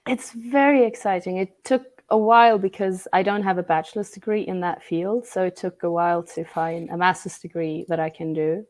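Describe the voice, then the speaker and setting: Hoarse woman with a cold. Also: lowish voice, fairly even intonation, normal speed, few pauses, a little mellow. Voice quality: raspy. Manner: laughs between phrases, self-deprecating. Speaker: guest. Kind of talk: casual online chat.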